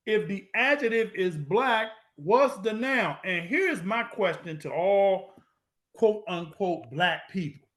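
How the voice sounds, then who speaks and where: Male lecturer with a high voice, preachy and emphatic, hammers points by repetition and rhetorical questions, casual grammar. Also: a bit bright. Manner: street-style informal grammar. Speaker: lecturer on Moorish American history.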